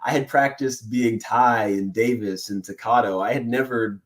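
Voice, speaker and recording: Animated, laughing male voice actor. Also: mid-range voice, normal speed. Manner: animated, excitable storyteller who laughs often. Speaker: voice actor guest. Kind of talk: casual interview chat.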